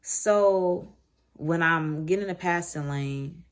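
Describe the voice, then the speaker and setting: An American woman telling a story in a casual, slangy, conversational way with animated asides, as a vlogger talking to camera. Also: lowish voice, takes it slowly.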